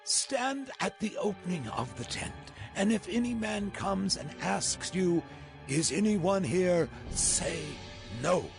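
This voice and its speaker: An actor playing a weary army commander on the run, pleading haltingly in a Bible audio drama. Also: male voice, high voice, expressive, vocal fry, exaggerated voice. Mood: anxious commanding. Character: weary, fleeing male army commander begging for shelter. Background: music.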